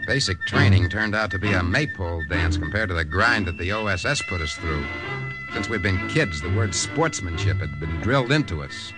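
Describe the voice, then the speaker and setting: A man's voice: deep voice, lively intonation, normal speed, rough recording. An actor playing a wry young army corporal who narrates his war mission in a 1950s radio drama. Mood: grim, matter-of-fact. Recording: old radio recording with loud music behind.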